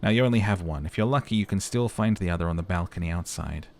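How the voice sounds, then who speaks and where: Deep-voiced man narrating a game playthrough. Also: fast. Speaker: let's play host and narrator. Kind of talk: dramatic game narration with casual commentary.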